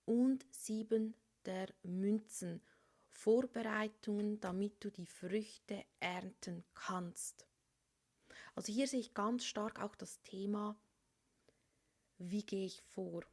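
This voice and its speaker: A woman giving a tarot reading for a star sign, speaking softly and often dropping into a whisper over the cards. She has a cold. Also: mid-range voice, takes it slowly. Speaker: tarot reader.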